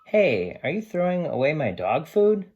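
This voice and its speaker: An eager, friendly dog from a children's storybook, read aloud by a man. He is curious and animated, telling about life with his family as he helps out at home. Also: on the high side, a little slow, husky, highs cut off, voice pushed up, heavily acted. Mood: excited, concerned.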